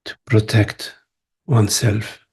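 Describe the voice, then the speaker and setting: Man, guided meditation, very slow pace, breathy husky tone, gentle, spacious pauses. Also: lowish voice, little pitch movement. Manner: unhurried, gentle, with long pauses between short phrases. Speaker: meditation teacher. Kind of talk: guided meditation, spiritual talk.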